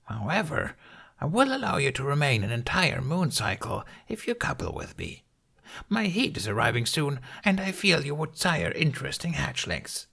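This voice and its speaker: A man performs a proud wild dragoness from a fantasy audiobook. The voice is pitched up and husky, with a gravelly edge. The speech is formal, blunt and imperious, as befits a territorial creature. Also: sing-song, moderate pace, bright, forceful tone, full character voice. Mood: imperious, frank.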